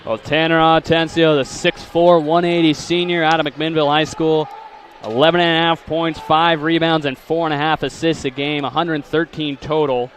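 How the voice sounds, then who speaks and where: A man calling live basketball play-by-play, rattling off names and stats and shouting on big plays. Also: a little high, little pitch movement, moderate pace. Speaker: play-by-play commentator. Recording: live gym crowd and court noise. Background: crowd noise and bouncing basketballs.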